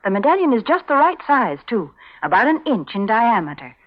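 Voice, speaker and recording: Lively, high-voiced 1940s radio saleswoman. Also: a little fast. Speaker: sponsor's announcer. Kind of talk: 1940s radio advertising patter. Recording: slightly muffled 1940s radio broadcast.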